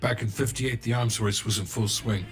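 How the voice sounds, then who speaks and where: An actor playing a guarded, unrepentant CIA official in a Cold War video game, speaking in a cold, measured, defensive way. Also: male voice, fairly low pitch, steady tone, music in the background, lightly acted. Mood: measured explaining. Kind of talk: video game cinematic acting.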